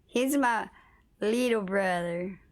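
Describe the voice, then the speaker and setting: A woman's voice: mid-range voice, takes it slowly. A woman livestreaming a game, chatting casually with viewers and swearing a little; her voice is slightly worn, as if she is losing it. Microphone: phone.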